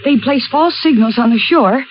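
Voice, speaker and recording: A woman acting out an anxious young sister in a 1940s radio thriller. Her voice sits high, urgent and full of suspicion. The recording is dull, with clear hiss. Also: normal speed, lightly acted. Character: jittery, suspicious young sister stranded at night.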